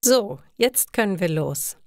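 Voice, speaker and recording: A woman voicing a practical, patient mother in a learner's story, measured and matter-of-fact. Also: medium pitch, animated, a little mellow, no echo, clean studio sound. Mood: reassuring.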